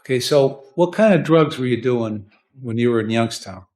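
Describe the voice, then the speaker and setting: A blunt American man with a medium-pitched voice, speaking as a judge in a courtroom. He questions and lectures in plain, repetitive phrases, often tagging them with a short check for agreement. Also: animated, normal speed, a little mellow.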